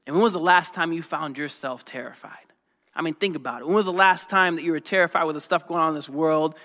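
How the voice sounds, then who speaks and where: A man in his thirties preaching a lively, conversational sermon, often asking the congregation questions. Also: on the high side. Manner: energetic, conversational, asks the crowd questions. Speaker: pastor. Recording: long reverb of a large hall.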